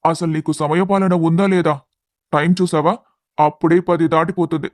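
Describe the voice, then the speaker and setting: Man acting a fretful doting village father, higher-pitched. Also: little pitch movement, normal speed, a little mellow, no echo, clean studio sound, close to an everyday voice. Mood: impatient, eager. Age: middle-aged. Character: doting, anxious village father, rice mill owner. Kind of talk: dramatised moral story acting.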